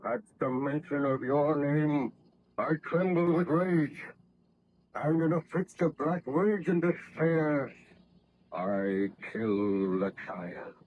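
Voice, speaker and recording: An actor playing a lonely, vengeful monster with grand, archaic speech in 1930s radio horror style. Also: male voice, on the high side, slow. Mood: slow, wrathful. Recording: dull, noisy old radio recording.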